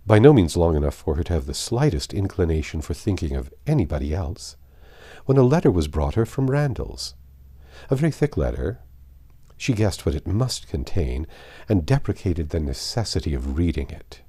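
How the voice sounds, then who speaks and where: A man narrating a classic novel aloud as an audiobook reader, in a measured, formal and expressive way with stressed phrases. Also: sits low, husky.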